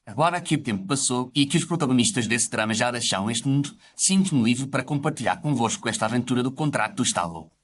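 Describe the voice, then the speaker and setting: A man plays a gentlemanly doctor narrating his memoirs. The mid-pitched voice moves briskly through formal, literary, reflective storytelling, with faint noise behind it. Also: lively intonation, natural voice. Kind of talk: scripted first-person story narration.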